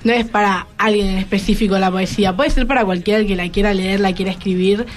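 Mid-pitched Argentine teenage student on radio. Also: female voice, fairly even intonation, quick pace. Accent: Rioplatense Argentine. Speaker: student guest on school radio show.

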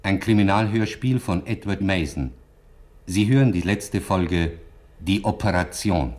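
A deep-voiced man reads a formal radio drama announcement. He speaks slowly and deliberately, on a slightly noisy vintage recording. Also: darkish tone.

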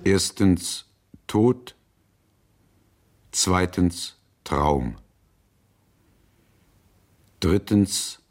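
An actor playing a brooding man in a radio drama, listing things in halting, repeated fragments. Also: deep voice. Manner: halting, enumerating, repetitive.

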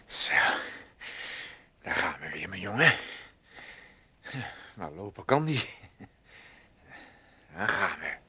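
An actress playing an older mother fussing over household chores in a radio drama, muttering in a drawn-out way. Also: extremely low pitch, big pitch swings, bright, forceful tone, breathy edge, no room sound, exaggerated voice. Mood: muttering, weary.

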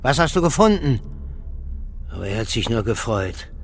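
An actor playing an arrogant, obsessed older archaeology professor in a radio drama, lecturing and full of contempt. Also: male voice, mid-range voice, sing-song, normal speed, slight background noise. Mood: mocking. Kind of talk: German radio drama acting. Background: music.

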